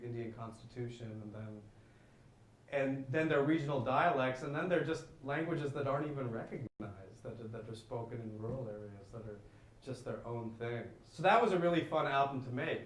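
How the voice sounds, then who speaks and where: Reflective male guest musician in an interview, a little reverberant, a faint hiss under the voice. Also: medium pitch. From Boulder, Colorado, United States. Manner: reflective, feels his way to words. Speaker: jazz saxophonist guest. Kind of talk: interview answers. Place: school event room. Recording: echoey room, faint background noise.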